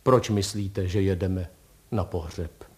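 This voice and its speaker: A man playing a hollow-voiced, funereal gentleman. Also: sits low, fairly even intonation, pitched below the performer's own voice, lightly acted. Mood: mournful. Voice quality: hollow, sepulchral. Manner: slow, mournful, formal.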